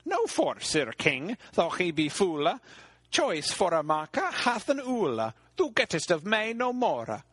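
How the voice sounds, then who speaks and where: A man's voice: sits high, wildly swooping pitch, a little slow, a bit bright, breathy edge, no echo, pitched above the performer's own voice, exaggerated voice. A man voicing a loathly old hag who demands a knight for a husband, declaiming archaic verse. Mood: demanding.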